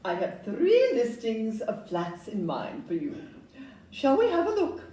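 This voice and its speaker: In a roomy, distant live recording with faint noise, an actress plays a Victorian estate agent woman who speaks unhurriedly. She is polite, emphatic and salesmanlike, her high voice swinging widely as she puts a gloss on every flaw. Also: wildly swooping pitch, measured pace, dark tone. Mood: apologetic, encouraging. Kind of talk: audio-play acting before an audience.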